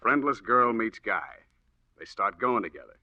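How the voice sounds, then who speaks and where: An actor playing a blunt, sardonic, skeptical police detective in 1940s radio style. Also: male voice, fairly low pitch, expressive, normal speed, bright, forceful tone, a little hiss or hum. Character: skeptical, hard-boiled male police detective. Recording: dull, boxy old radio recording.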